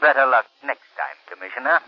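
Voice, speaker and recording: Mysterious commanding crime fighter, mid-pitched, dramatic 1930s radio delivery, dull vintage recording. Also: male voice, wildly swooping pitch, vocal fry, full character voice. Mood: smug, composed. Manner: deliberate, dramatic.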